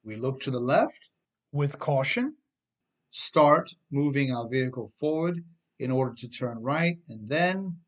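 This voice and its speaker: A man with a medium-pitched voice narrates an instructional audiobook. He reads as its author, speaking directly to the listener with an emphatic, explanatory manner. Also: lively intonation, measured pace. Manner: rhetorical questions, direct address to listeners, emphatic. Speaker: author reading own advice book on driving.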